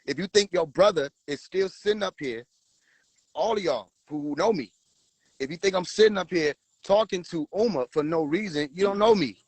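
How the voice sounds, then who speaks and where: A man hosting a livestream, talking forcefully and preaching directly at his viewers. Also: high-pitched, moderate pace, breathy edge, no room sound, low-quality recording. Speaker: livestream host. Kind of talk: casual livestream talk.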